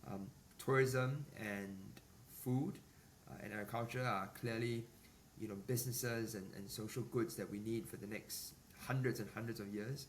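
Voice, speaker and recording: Fortyish guy from singapore, low voice, kinda far off the mic, faint hum behind, lots of you-knows. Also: steady tone. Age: 40s. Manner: measured, lots of fillers and restarts. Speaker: business executive guest. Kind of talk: podcast interview. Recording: distant mic with faint ambient noise.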